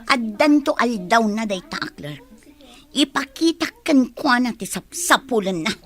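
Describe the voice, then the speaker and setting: A woman's voice: fairly high pitch, lively intonation, a little slow, a bit bright. A woman playing an irritable, suspicious office worker in a radio drama, with an Ilocano Filipino accent. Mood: suspicious, annoyed.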